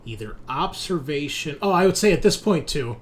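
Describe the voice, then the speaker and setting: A man's voice: a little high, lively intonation, normal speed, slight background noise. A man running a tabletop game, narrating and giving rulings off the cuff as game master.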